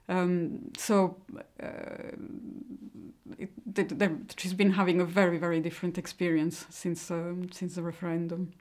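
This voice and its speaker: A middle-aged woman who grew up in Italy, giving a personal account in a hesitant, halting way. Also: on the low side, darkish tone. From born in Mexico, grew up in Italy. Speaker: interviewee giving personal testimony.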